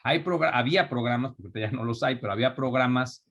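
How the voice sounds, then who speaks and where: A young adult man from Mexico with a Mexican accent, speaking as an interview guest in a relaxed, reflective way with frequent restarts. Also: medium pitch, fast talker, dull audio. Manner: thoughtful, restarts often, reflective. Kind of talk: conversational interview.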